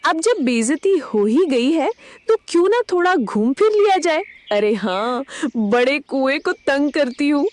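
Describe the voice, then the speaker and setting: Young female cartoon bird, played by a woman: high voice, cheeky, lazy, sly troublemaker. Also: sing-song, measured pace. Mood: scheming. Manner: insolent, scheming. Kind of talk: Hindi children's cartoon dub.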